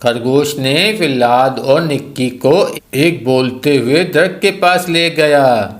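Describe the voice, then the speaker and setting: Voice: mid-range voice, slow pace. A storyteller reading scripted narration for a children's tale.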